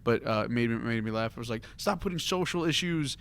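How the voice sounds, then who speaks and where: A man with a medium-pitched voice speaks in a casual podcast discussion. He is blunt and unpolished, talking informally like one of a group of co-hosts. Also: expressive, a little fast, a bit bright, clean tone. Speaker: podcast co-host.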